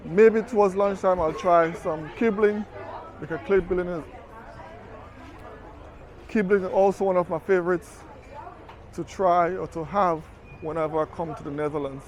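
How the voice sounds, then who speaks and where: A man's voice: high-pitched, steady tone, normal speed, pauses often, a faint crowd. A man talking casually as a travel vlogger, chatty and conversational, recorded outdoors on city streets with a camera mic while walking. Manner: chatty, conversational, fond of "you know".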